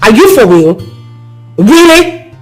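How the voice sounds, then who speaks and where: A woman's voice: mid-range voice, animated, normal speed, faint music behind, close to an everyday voice. An actress playing an insulted young city woman who answers back angrily. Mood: scornful. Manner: indignant, sharp retorts. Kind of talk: film drama acting.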